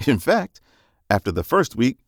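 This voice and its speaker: A man reading scripted nonfiction narration in a measured, instructive manner, as for an audiobook summary. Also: medium pitch, big pitch swings, gravelly, no room sound, clean studio sound.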